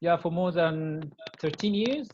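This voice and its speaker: A man whose first language is Arabic, an English teacher speaking in a casual video-call interview with halting, hesitant phrasing. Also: a little high, darkish tone. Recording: dull, noisy lo-fi call audio with clicks.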